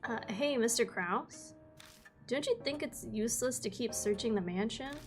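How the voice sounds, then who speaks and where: A woman's voice: a little high, lively intonation, moderate pace, faint music behind, lightly acted. A woman voicing a confident young female reporter who speaks eagerly and asks a lot of questions.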